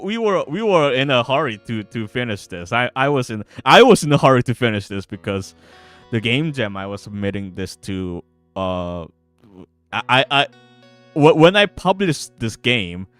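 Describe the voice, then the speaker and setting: A man's voice: medium pitch, a bit bright. A man chatting casually on a livestream as a game developer, stammering and repeating his words. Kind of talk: casual livestream chat with some read-aloud narration. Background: guitar music.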